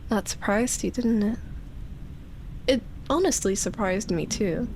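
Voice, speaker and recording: Woman playing a lonely immortal vampire lady, obsessive and tender, slow soft delivery, breathy whispers, ASMR roleplay, noisy ambient background. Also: medium pitch. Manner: soft, breathy sighs, slipping into whispers, lingering pauses. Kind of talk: roleplay ASMR, intimate monologue.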